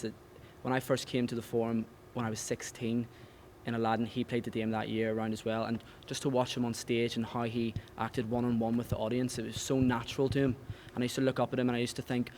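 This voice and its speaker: A man's voice: mid-range voice, level tone, fast, darkish tone. A young man, an actor giving a sincere interview. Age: young adult. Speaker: pantomime actor. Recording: faint background ambient noise.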